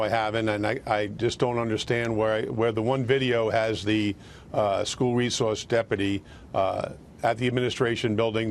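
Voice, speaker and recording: An American man answering as a news interview guest, speaking haltingly and repeating words as he goes. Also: fairly low pitch, slight background noise. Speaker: police union president, interview guest.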